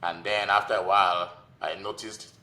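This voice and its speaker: A man's voice: deep voice, little pitch movement, moderate pace, pushed and bright. A man in his forties with a Nigerian accent, telling his own story informally as a guest in a conversational interview. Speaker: podcast guest, physician and investor.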